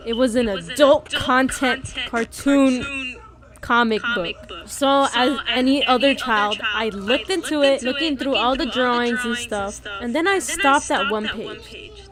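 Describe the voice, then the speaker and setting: A young woman telling a comedic story from her childhood in a crude, joking, animated way. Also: high voice. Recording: background music.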